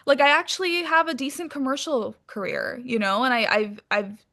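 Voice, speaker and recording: A woman's voice: sits high, expressive, normal speed, pushed and bright. A woman being interviewed as a guest, talking casually and candidly with informal language and some swearing.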